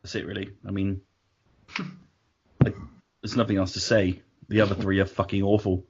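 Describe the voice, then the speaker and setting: A man's voice: sits low, level tone, moderate pace, muffled recording, noisy background. A man hosting a podcast with casual, blunt, sweary and jokey banter. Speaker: podcast host.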